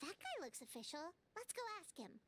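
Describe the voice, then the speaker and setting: A woman's voice: high voice, wildly swooping pitch, a little fast, a bit bright, a little hiss or hum, exaggerated voice. A woman voicing a small, childlike female fairy companion in a video game, perky and blunt. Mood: perky, curious.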